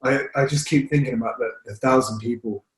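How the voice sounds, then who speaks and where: A man's voice: mid-range voice, fairly even intonation, fairly quick, echoey room. A man, a fitness coach hosting, asking questions in a chatty, encouraging way over a video call. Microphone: video call webcam.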